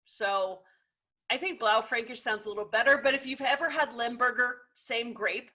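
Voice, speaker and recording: A woman's voice: fairly high pitch, normal speed, sharp tone. A woman presenting an overview of a wine grape in an informal, explanatory way, with frequent fillers, over a video call.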